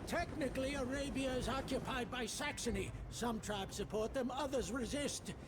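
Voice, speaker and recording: A man playing a measured, informed explainer of desert politics in a game cutscene, speaking precisely. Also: extremely high pitch, background noise. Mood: explanatory. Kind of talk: dramatic game cutscene acting.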